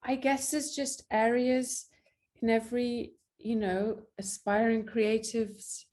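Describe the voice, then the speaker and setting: A woman's voice: a little high, little pitch movement, slow, a little mellow. An English actress in a casual interview, speaking candidly and a little self-deprecatingly about her work. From England. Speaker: actress and guest.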